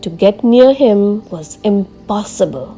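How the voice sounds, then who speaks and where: A woman reading a children's story aloud like a teacher, expressively and with careful, deliberate enunciation. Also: medium pitch, lively intonation, warm tone, faint music behind, poor audio.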